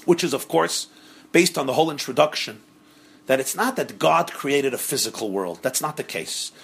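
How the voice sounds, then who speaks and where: A rabbi teaching a class on a religious text, earnest and emphatic, who repeats phrases for stress and sprinkles in Hebrew and Yiddish terms. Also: male voice, high-pitched, a bit bright, breathy edge. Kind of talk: explaining a religious text to students.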